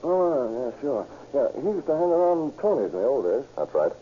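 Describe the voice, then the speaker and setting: A man's voice: medium pitch, sing-song, normal speed, a little hiss or hum, close to an everyday voice. An actor playing a brazen, scheming career robber with slangy tough-guy talk in an old radio crime drama. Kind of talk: 1940s radio acting. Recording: muffled vintage radio recording.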